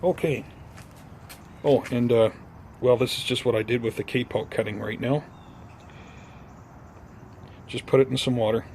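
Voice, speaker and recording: Male gardening vlogger, mid-pitched, casual and unhurried, slightly hesitant, recorded outdoors with faint ambient noise. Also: steady tone, darkish tone. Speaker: host of a bonsai gardening vlog. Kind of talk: casual vlog chat to viewers.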